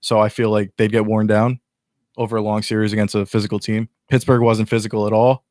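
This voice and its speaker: A young man with a lowish voice talks earnestly and at length as a guest, stumbling and restarting as he explains. The recording is close and dry, with no room sound. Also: flat delivery, fairly quick, a little mellow, no echo. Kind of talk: casual sports chat.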